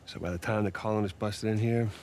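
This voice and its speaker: A middle-aged man with an American accent playing a roguish, excitable older-brother treasure hunter in a video game, with a gravelly, teasing delivery. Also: fairly low pitch, quick pace, slight background noise, natural voice. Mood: thoughtful. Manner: teasing, casual, enthusiastic. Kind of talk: video game cutscene acting.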